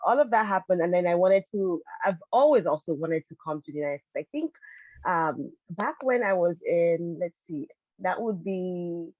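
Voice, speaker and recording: A woman's voice: on the low side, lively intonation, normal speed, no room sound, narrow, tinny audio. A woman professor from Nigeria, telling her story conversationally as an interview guest. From Lagos, Nigeria. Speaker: college professor, podcast guest.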